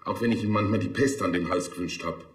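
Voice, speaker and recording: An actor playing an aggrieved, defensive cemetery administrator. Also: male voice, low-pitched, fast talker, lightly acted. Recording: roomy echo, faint noise.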